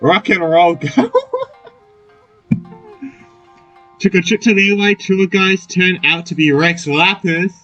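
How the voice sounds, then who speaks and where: A woman's voice: lowish voice, steady tone, slow pace, pauses often, a bit bright, dull audio, faint music behind. A woman doing casual let's play commentary on a game, reacting in short exclamations. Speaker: gamer playing through a story quest.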